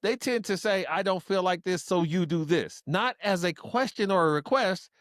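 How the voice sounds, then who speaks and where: A man's voice: high voice, moderate pace, fairly bright tone, no echo, clean studio sound. A man co-hosting a casual relationship discussion, making his points emphatically and repeating phrases. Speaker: podcast co-host. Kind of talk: casual relationship debate chat.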